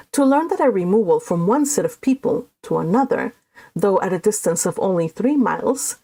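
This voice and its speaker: A woman hosting a book read-along, talking casually and haltingly, and reading aloud from a classic novel. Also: medium pitch, a little mellow.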